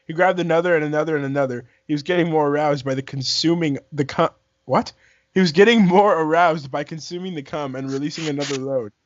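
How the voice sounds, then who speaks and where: A young man with a slightly high voice reads aloud in a casual way. He trips over phrases and goes back to repeat them. The sound is dry and a bit muffled, like a lo-fi voice chat. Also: fast talker, dry recording. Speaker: amateur fan fiction reader. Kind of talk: casual read-aloud among friends.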